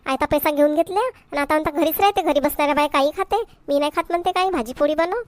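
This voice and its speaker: A woman's voice: sits high, quick pace, no echo, lightly acted. A woman playing an exasperated, hard-working village wife in a comedy cartoon, complaining sharply in a Varhadi Marathi accent. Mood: fed up. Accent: Varhadi Marathi from Vidarbha. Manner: scolding, complaining, sharp-tongued.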